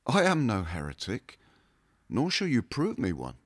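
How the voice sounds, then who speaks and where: A man's voice: lowish voice, huge pitch swings, unhurried, no room sound, clean studio sound, heavily acted. A man voicing a humble but steadfast medieval married woman mystic, in a plain, subdued manner. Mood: quietly defiant.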